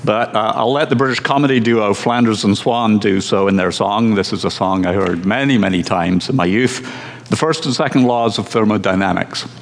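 A man from Scotland, preaching a sermon as pastor in a measured, conversational way with dry humor. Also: fairly low pitch, in a large hall. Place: church.

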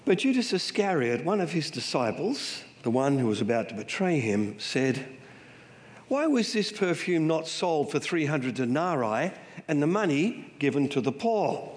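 A man's voice: on the high side, big pitch swings, normal speed. An Australian man preaching in a church, his voice thickened by a cold. He reads scripture and jokes at his own expense, and the sound carries a long hall reverb behind him. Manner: self-deprecating humor, emotional. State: has a cold. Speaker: guest preacher. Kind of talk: sermon with scripture reading. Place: church sanctuary.

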